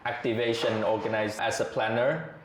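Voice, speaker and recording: A man, a podcast guest talking in a measured, thoughtful way with frequent hesitations. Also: fairly low pitch, fairly even intonation, slight background noise. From Vietnam. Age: 30s. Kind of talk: casual interview chat. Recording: echoey room.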